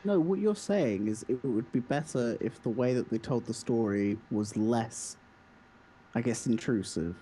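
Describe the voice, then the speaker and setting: A man's voice: mid-range voice, measured pace, warm tone, breathy edge. A man co-hosting a podcast, casually and insistently giving blunt opinions about video games. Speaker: podcast co-host.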